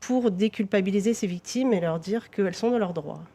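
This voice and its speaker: A woman's voice: mid-range voice, brisk, warm tone, husky. A woman police victim-support officer, a guest on a TV talk show, explaining her work with frequent fillers and restarts.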